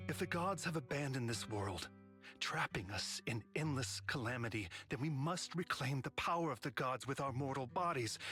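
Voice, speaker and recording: An actor playing a calm, world-weary male mentor in a video game, speaking in a measured, solemn way. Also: mid-range voice. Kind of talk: scripted game cutscene acting.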